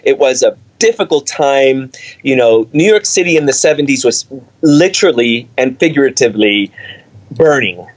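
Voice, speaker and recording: A middle-aged man from Cuba, the artistic director of a dance company, answering interview questions in animated, storytelling fashion with many fillers. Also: medium pitch, moderate pace, poor audio.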